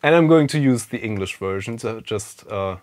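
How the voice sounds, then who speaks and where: A German man with a fairly low voice and German as his first language, talking through a hands-on computer repair in a relaxed, casual way. He thinks aloud as he works, dropping plenty of "uh"s and small asides. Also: moderate pace. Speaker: retro computing video host. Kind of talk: casual hands-on tech walkthrough.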